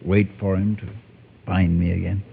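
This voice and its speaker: An actor playing a weary, frightened former preacher in radio drama, speaking in a halting, fatalistic way. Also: male voice, low voice, flat delivery, creaky. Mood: weary resignation. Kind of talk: old-time radio drama acting. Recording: narrow, hissy vintage radio recording.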